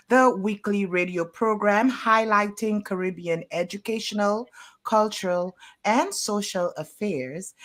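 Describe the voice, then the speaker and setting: A woman hosting a community radio interview, speaking in a formal, deliberate, courteous way. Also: medium pitch, a bit bright. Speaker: radio show host.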